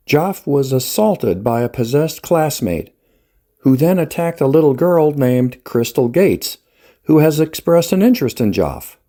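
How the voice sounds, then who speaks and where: A man hosting and reading scripted narration to introduce a dramatic scene, in a measured storyteller's manner. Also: mid-range voice, warm tone. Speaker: host, writer-director.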